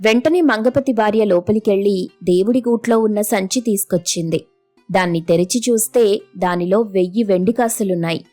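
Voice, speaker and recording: A woman narrates a scripted moral story as the storyteller. Her voice sits at a medium pitch, with faint music playing behind it. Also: normal speed, mellow.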